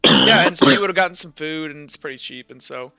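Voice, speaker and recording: A man acting as game master, narrating and improvising in a casual, halting, conversational way. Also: fairly high pitch, big pitch swings, gravelly, a crowd in the background, poor audio.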